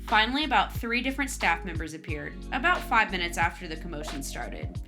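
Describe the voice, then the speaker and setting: A woman's voice: on the low side, sing-song, fairly quick. A woman hosting and narrating scripted stories with her own commentary. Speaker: narrator and host. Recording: music under the voice.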